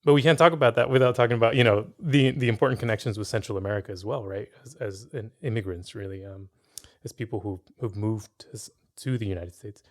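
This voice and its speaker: A man's voice: lowish voice, quick pace. A man from San Francisco hosting an interview, posing a long, thoughtful question full of fillers and rephrasings. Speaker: interviewer, podcast host.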